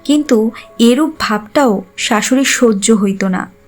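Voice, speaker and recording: High-voiced woman narrating a classic story. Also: unhurried. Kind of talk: literary scripted narration. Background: background music.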